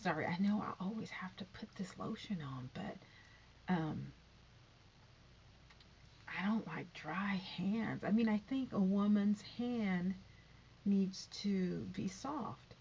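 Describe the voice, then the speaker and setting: A woman's voice: mid-range voice, slow pace, gravelly, long pauses, darkish tone, lo-fi sound, a little hiss or hum. A woman in her fifties vlogging in a rambling, chatty way, with sighs and whispered asides. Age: 50s. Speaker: YouTube vlogger. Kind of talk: rambling casual vlog.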